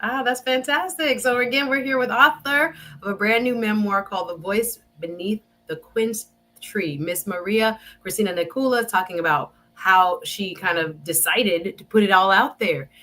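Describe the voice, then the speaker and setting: A woman's voice: medium pitch, moderate pace, sharp tone. A woman hosting an interview show, chatty and enthusiastic, talking straight to her viewers. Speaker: show host.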